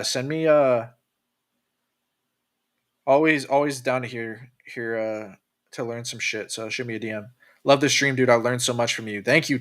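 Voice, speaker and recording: Casual young male streamer, late-night and loose, tense bright tone, dead-dry close mic. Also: medium pitch, no room sound. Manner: loose and profane, with many fillers and restarts. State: tired from staying up late. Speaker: crypto livestreamer reading chat. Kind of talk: casual livestream chat.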